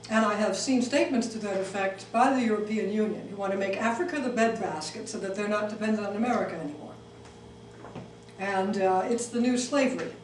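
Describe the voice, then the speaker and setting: A woman's voice: medium pitch, a little fast. A middle-aged woman raised in Switzerland, giving a talk and taking audience questions, with many ums and restarts. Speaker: speaker. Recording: echoey room, mic far off, faint noise.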